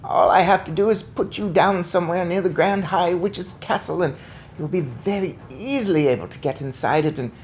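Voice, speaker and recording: A man voicing a loving, proud and crafty old Norwegian grandmother in a storybook, with measured, emphatic delivery. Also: high-pitched, slight background noise, close to an everyday voice. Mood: eager.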